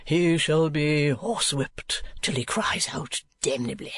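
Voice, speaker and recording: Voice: mid-range voice, unhurried, a bit bright, no echo. A narrator voicing a foppish, idle dandy of a husband who drawls in an affected, gushingly amorous way. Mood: languid, affected.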